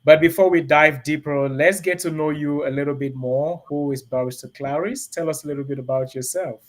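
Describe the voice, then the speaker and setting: A man hosting a live-streamed talk show from a studio, speaking with energetic, repeated emphasis and greeting viewers directly. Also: a little high, a little fast. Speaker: host and reverend.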